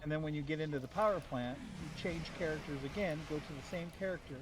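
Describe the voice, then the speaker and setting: Man chatting casually over clear background noise. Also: a little high, fast talker. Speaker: gamer on a group gaming podcast. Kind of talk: casual group chat. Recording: noisy voice-chat with clear background hum.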